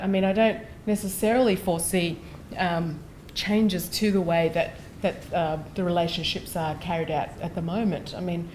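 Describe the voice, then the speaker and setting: Low-voiced woman answering from a panel. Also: a little mellow, a little hiss or hum. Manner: measured, hedging. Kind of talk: answering audience question. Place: lecture theatre.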